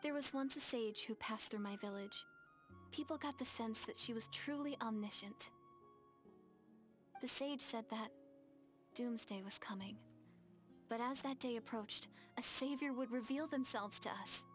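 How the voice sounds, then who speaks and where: A high, girlish young village woman acted by a woman speaks with devout earnestness. She is a game character with a hesitant manner, and music plays faintly underneath. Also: a little slow, pauses often, lightly acted. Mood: solemn, wistful. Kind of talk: video game voice acting.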